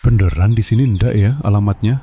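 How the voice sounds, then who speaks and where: A man's voice: fairly low pitch, fairly quick, mellow, slight background noise, lightly acted. A man playing a polite humble satay seller. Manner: polite, deferential, eager. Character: humble middle-aged male satay seller, polite, desperate. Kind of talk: animated cartoon voice acting.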